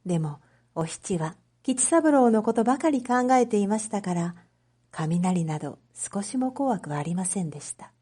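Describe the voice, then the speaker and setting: A woman reading a story aloud as a narrator, in a measured, clearly phrased storytelling manner for reading practice. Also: medium pitch, warm tone. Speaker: narrator, Japanese reading teacher.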